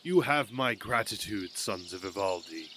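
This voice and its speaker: A man's voice: fairly low pitch, lively intonation, bright, forceful tone, a little hiss or hum. A man playing a stately, genial old king of the gods in a fantasy audio drama, speaking regally. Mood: solemn approval. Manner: slow, regal.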